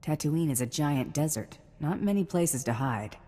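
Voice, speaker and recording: An actress playing a tough, curt bounty hunter in a video game, wry and no-nonsense. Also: female voice, sits low, animated, moderate pace, warm tone, in a large hall. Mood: cool, businesslike.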